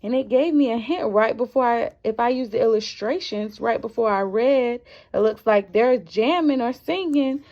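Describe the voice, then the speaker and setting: A woman teacher reading a picture book aloud to young children, expressive and encouraging, often asking the listeners questions. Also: on the high side, moderate pace, hardly any pauses. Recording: dull, muffled lo-fi sound.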